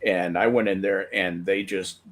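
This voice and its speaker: A man from Belgium chatting casually on a podcast, telling animated stories with stumbles and restarts. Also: deep voice, normal speed. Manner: animated storyteller who stumbles on words. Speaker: podcast co-host. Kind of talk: casual chat.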